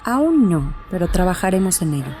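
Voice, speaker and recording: A woman playing a composed, affable psychiatrist, gentle and professional, in an audio horror drama. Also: fairly low pitch, sing-song, moderate pace. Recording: faint music behind the voice.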